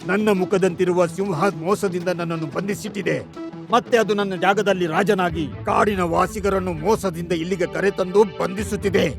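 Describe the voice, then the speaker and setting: A man voicing a commanding, reassuring lion king of the forest in an animated animal story, with a gravelly voice. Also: high-pitched, moderate pace, faint music behind. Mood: grave warning.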